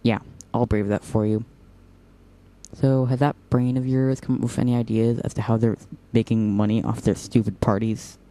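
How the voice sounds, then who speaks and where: A man's voice: mid-range voice, level tone, moderate pace, dark tone, lightly acted. A man playing a whimsical, teasing young operative in an audio drama, talking in a playful, mock-dramatic way.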